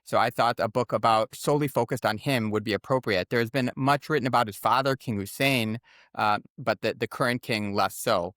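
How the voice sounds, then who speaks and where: A man's voice: mid-range voice, a little fast, fairly bright tone, dry recording. An American man with a mid-range voice answers as an interview guest. He explains things in an articulate, orderly and informative way. The recording is dry, with hardly any room sound. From United States. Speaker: guest author and former journalist.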